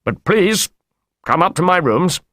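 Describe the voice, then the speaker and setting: Man voicing an agitated, frightened fat man, pitched up. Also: big pitch swings, unhurried, fairly bright tone, no room sound, studio quality, exaggerated voice. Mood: anxious, polite. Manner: agitated, pleading, exclaiming. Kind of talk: audiobook character voice.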